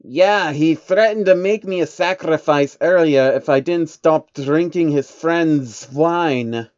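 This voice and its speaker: A man's voice: a little high, takes it slowly, a little mellow, clean tone, dry recording, muffled recording, pitched above the performer's own voice. A man voicing a cheeky, nervous changeling creature from another world in a whiny, questioning way for a story reading. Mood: complaining.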